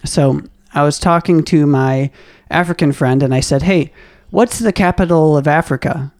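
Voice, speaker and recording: A man who hosts a podcast and interviews a friend in a casual way, laughing often and halting with many fillers. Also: on the high side, a little mellow, no echo, studio quality. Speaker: podcast host.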